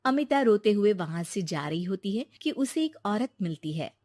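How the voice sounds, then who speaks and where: A woman's voice: mid-range voice, big pitch swings, normal speed, darkish tone, dry recording, clean studio sound. A woman reading a scripted moral story as its narrator.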